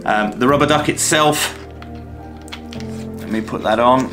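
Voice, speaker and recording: Slow-paced man with music playing behind. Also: mid-range voice. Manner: hesitant, measured, pausing mid-phrase. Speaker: product reviewer. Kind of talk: unscripted unboxing demonstration and review.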